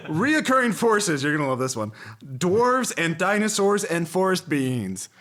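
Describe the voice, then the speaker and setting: A man's voice: high-pitched, big pitch swings, unhurried, background noise. A man hosting a casual group chat, reading out prompts and joking, often laughing. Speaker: podcast host reading out prompts.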